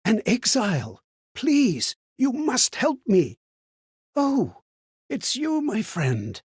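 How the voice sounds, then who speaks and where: An actor playing a frail, forgetful old man in a video game, anxious and kindly, with a hesitant, plaintive delivery and a husky, breathy voice. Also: very high-pitched, huge pitch swings, slow, no echo, clean studio sound, full character voice. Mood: distressed, pleading. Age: older. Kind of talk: theatrical video game character acting.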